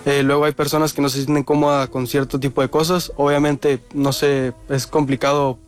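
A man's voice: medium pitch, little pitch movement, a little fast. A man with a Mexican Spanish accent giving casual answers as an interview guest. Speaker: interview guest, restaurant founder.

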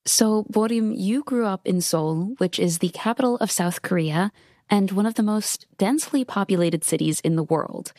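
A woman's voice: mid-range voice, moderate pace, dark tone, no echo. Woman radio host, dry close sound. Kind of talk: scripted narration and interview questions.

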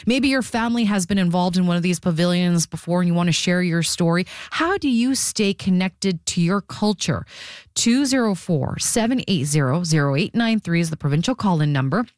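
Female call-in radio host, slightly low register, dry studio sound, presenting and interviewing live. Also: lively intonation, darkish tone, dry recording. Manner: reads phone numbers slowly, digit by digit.

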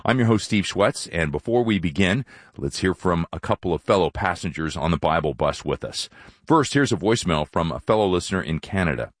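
A low-pitched man speaks as the host of a religious radio program. His delivery is scripted, as he introduces segments and reads letters, and the sound is close and dry. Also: expressive, brisk, no echo. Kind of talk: scripted radio hosting, reading listener letters.

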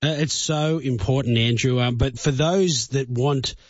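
A man hosts a news program, interviewing a guest with a measured, formal manner. His voice is mid-pitched. The recording is dry and a little dull. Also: dry recording. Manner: measured, formal presenter delivery. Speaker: news host. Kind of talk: broadcast interview.

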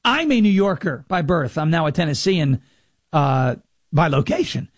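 Indignant high-voiced talk radio man. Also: big pitch swings, moderate pace, no room sound, clean studio sound. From New York, Long Island. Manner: sarcastic, indignant, mocking impressions. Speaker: radio talk show host. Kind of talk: political talk radio monologue.

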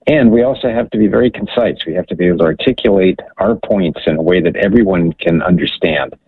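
A man of about sixty calls into a radio show over a tinny phone line. His voice is very deep and gravelly. He speaks in an earnest, measured way. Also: animated, no room sound. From Hawaii.

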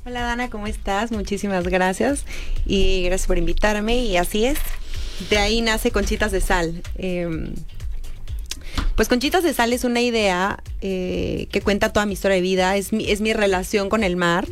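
A young woman born in San Diego and raised Mexican, a perfume creator answering interview questions earnestly, with many pauses and fillers. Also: mid-range voice, faint music behind. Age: young adult.

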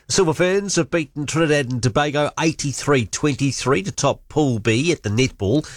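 A man reading a radio sports news bulletin in a brisk, even way. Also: lowish voice, no room sound, studio quality. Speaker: sports newsreader.

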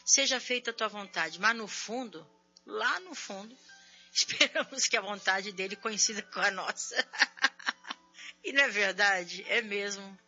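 Chatty woman radio host, bright voice. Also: medium pitch, a little slow, breathy edge, dull audio, soft background music. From São Paulo, Brazil. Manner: chatty, warm preaching tone with frequent "né?". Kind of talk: religious call-in radio talk.

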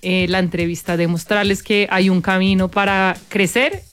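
A woman with a Bogotá Colombian accent, a guest on a talk program, explaining her work in measured, step-by-step answers. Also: medium pitch, flat delivery, smooth, faint music behind. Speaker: guest, corporate sustainability manager.

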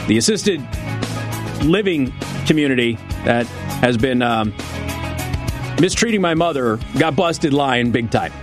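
A male radio talk show host delivering an opinionated monologue, sarcastic, with mocking swings up in pitch. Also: expressive, measured pace, pauses often, over music. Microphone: studio microphone.

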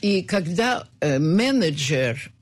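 An older woman from the former Soviet Union looks back on her years as a pianist and teacher. She rambles and halts, filling pauses with drawn-out hesitations. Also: on the low side, very animated. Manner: rambling, halting with many fillers. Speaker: guest, concert pianist. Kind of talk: reminiscing interview talk.

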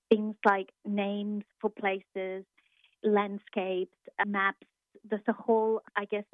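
A woman from Argentina, a Spanish speaker, answering interview questions as a university lecturer, thoughtful and pausing often to find her words. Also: medium pitch, no room sound. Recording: tinny phone line.

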